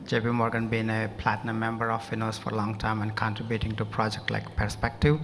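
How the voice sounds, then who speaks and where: A man's voice: fairly low pitch, monotone, quick pace, fairly bright tone, a little hiss or hum. A man panelist introducing his cybersecurity role with enthusiasm. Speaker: panelist, bank security director. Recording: hall reverb with faint ambience.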